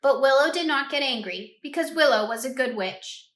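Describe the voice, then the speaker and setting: Bright-voiced woman reading a storybook aloud. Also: sits high, normal speed. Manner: expressive, asks listeners questions. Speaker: read-aloud host for children. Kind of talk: children's storybook read-aloud. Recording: roomy echo.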